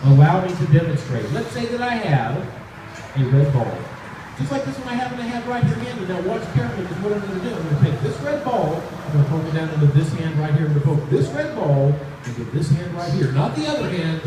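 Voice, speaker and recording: A man's voice: high voice, animated, fast talker. A man hosting a live children's magic show, with theatrical, repetitive, teasing patter to his audience. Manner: repeats phrases, teasing build-ups to the audience. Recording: echoey, noisy, distant live recording.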